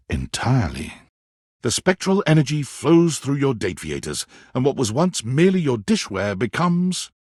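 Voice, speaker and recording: An actor playing a wry, dramatic male storyteller, narrating a romance game in a theatrical, expressive way. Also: on the low side, breathy edge, no room sound, clean studio sound. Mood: tender, then wry, playful. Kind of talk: scripted second-person narration for a dating game.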